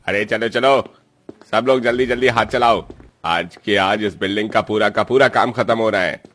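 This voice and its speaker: Man playing a bossy, low-voiced cartoon boss. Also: moderate pace, a bit bright, slight background noise, close to an everyday voice. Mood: impatient, scolding. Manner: scolding, brusque. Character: bossy, gruff male overseer and threatening landlord. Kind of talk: cartoon story voice acting.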